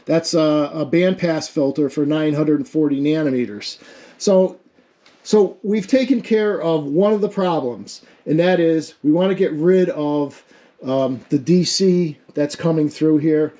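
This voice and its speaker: A man's voice: on the high side, a little slow, warm tone. A man giving an informal hands-on technical explanation as a hobbyist, thinking aloud in a casual, self-deprecating way. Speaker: amateur radio experimenter demonstrating a homemade setup.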